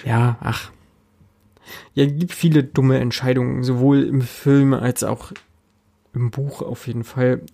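A man's voice: mid-range voice, steady tone, measured pace, creaky, long pauses, warm tone. A man co-hosting a casual film discussion podcast, often checking for agreement with short tag questions. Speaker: podcast co-host.

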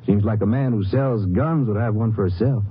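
A male actor plays a shrewd American Ranger sidekick in a 1950s radio western. He talks briskly and skeptically in a fairly low voice. The old recording is dull, with some hiss. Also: close to an everyday voice. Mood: skeptical, businesslike.

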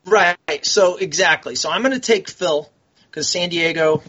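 An enthusiastic man talking loosely in casual conversation. His voice is bright and somewhat high-pitched. The recording sounds dull and lo-fi. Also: unhurried. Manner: chatty, rambling, enthusiastic. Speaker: guest, military veteran. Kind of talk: casual podcast chat.

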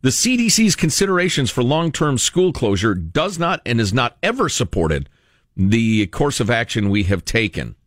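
American guy talk radio host, really animated delivery, stresses his points, dry studio mic. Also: mid-range voice, normal speed, no room sound. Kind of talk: reading a letter aloud with conversational commentary.

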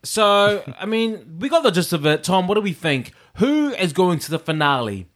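A man co-hosting a casual review show, animated, talking fast over his partner. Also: high-pitched. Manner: animated, talks over others, acts out quoted bits. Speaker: podcast co-host reviewing a drag competition. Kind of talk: casual chat.

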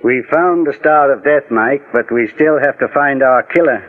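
An actor playing a calm, shrewd, authoritative detective in a 1940s radio mystery. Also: male voice, mid-range voice, moderate pace, lightly acted. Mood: quietly shrewd. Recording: muffled, narrow-band 1940s radio recording.

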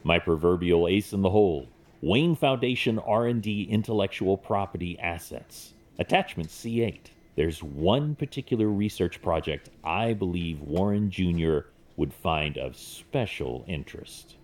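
A man acts out a stern billionaire vigilante for an audio drama. His voice sits very low, yet it moves with lively intonation as he delivers measured, deliberate speeches with principled resolve. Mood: calm, calculating.

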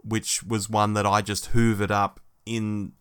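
A man's voice: on the low side, level tone, measured pace, fairly bright tone, smooth, dry recording, clean studio sound. An Australian man in his forties co-hosting a podcast in a casual, reflective, conversational style. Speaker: podcast co-host. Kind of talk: casual chat, discussion.

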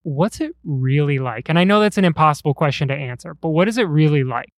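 A man's voice: a little high, lively intonation, quick pace, dry recording, studio quality. A man hosting a podcast in a chatty, curious way, asking his guest questions. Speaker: podcast host.

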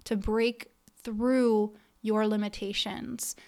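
Woman podcast host with an even, steady pitch. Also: slow pace. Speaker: podcast host and business coach. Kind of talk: conversational solo podcast monologue with membership pitch.

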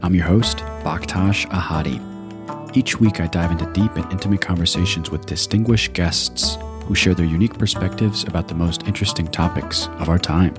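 A male podcast host reading a measured, scripted introduction and then asking his guest questions. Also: sits low. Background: background music.

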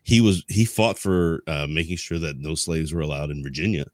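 A man speaking as a podcast co-host in a casual history discussion, explaining things in a halting, rambling way. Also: extremely low pitch, steady tone, darkish tone, no room sound, studio quality.

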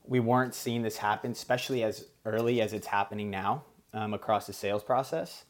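A man whose first language is Portuguese, speaking as an executive guest in a business interview and explaining his points in a measured way. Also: lowish voice, steady tone. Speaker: podcast guest, sales executive.

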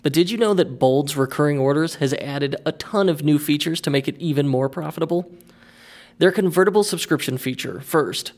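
A man who hosts a podcast, reading ad spots and chatting with a guest in an upbeat, energetic way. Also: on the high side. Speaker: podcast host. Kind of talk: read ad spots and casual interview.

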